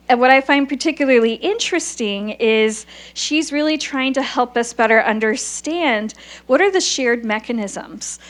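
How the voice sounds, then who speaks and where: A woman hosting a lecture series, enthusiastically introducing the guest speaker with frequent "uh"s. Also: a little high. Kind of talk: formal introduction at a lecture event.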